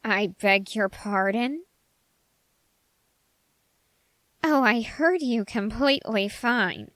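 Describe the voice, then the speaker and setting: A woman voicing a dark, obsessive young man in an ASMR roleplay, speaking formally in deliberate, halting phrases that can slip toward a whisper. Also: a little high, no room sound. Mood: indignant, insistent. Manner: silky, formal menace, slipping into whispers.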